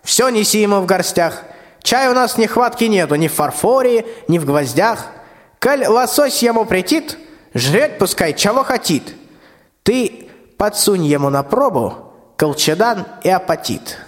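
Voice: medium pitch, big pitch swings, unhurried. A performer playing a scheming, bossy old tsar in a rhymed radio play, with declamatory folksy speech. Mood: scolding, impatient. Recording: long hall-like reverb.

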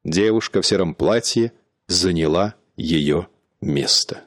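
Low-voiced male radio-play narrator. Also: no echo, clean studio sound. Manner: measured, even storytelling.

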